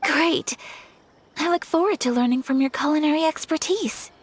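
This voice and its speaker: A woman voicing a gentle, refined young noblewoman who speaks formally and politely in a game cutscene. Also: high-pitched, normal speed, close to an everyday voice. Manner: formal, polite and soft-spoken. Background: game music.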